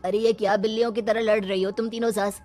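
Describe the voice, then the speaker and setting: A stern, scolding relative in a cartoon, voiced by a woman. The pitch is medium, and music plays behind the voice. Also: little pitch movement, fast, a little mellow, lightly acted. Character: stern scolding family member breaking up a squabble.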